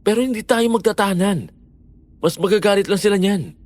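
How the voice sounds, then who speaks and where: A man playing a caring, patient young boyfriend who reasons gently and earnestly in an audio drama. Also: high-pitched, fairly quick, lightly acted. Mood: earnest, reasoning. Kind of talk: Filipino audio horror-story drama acting.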